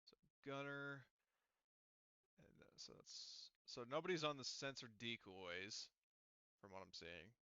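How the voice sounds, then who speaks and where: A man runs a casual tabletop game in a forceful, smooth voice. He talks through the details out loud, pausing to correct himself. The sound is very dry and a little lo-fi, like a voice chat. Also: medium pitch, expressive, dry recording. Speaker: game master. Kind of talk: casual tabletop game talk, running a session.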